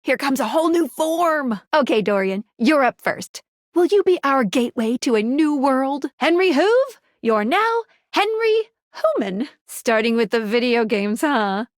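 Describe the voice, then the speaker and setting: A woman playing a perky, playful, helpful female guide character in a video game, delivering animated, punning scripted lines. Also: sits high, a little slow, no room sound, studio quality. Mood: upbeat, teasing. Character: perky, quippy, helpful female guide to dateable household objects.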